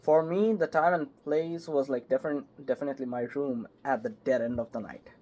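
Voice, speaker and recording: A young man, a voice actor, chatting casually in an interview, halting and filling with "like" and "yep". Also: medium pitch, steady tone, dark tone. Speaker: voice actor guest. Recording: slightly muffled call audio.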